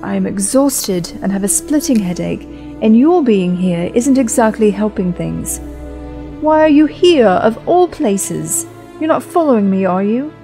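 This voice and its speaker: A woman's voice: medium pitch, animated, unhurried, warm tone, faint music behind. A woman playing a weary, irritable young woman traveller in an audio drama, sighing and sarcastic. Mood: bitter, irritated. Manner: exasperated, sarcastic sighing delivery.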